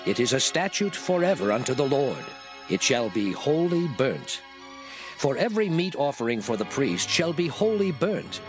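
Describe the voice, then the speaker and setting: A man giving a solemn, declarative, measured dramatized reading of old scripture. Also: medium pitch, sing-song. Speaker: scripture reader. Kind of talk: dramatized King James Bible reading, scripted. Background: music.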